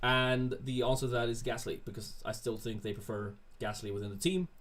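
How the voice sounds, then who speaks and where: A man's voice: medium pitch, fairly quick, fairly bright tone. Casual man hosting a livestream. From Sweden. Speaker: livestream host answering chat questions. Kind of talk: casual livestream chat, reading and answering viewer questions.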